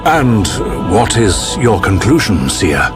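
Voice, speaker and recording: A grave ruler in a video game cutscene. His voice is low but moves expressively, solemn and formal. Music swells behind him. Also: sing-song, takes it slowly. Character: grave, stately male ruler.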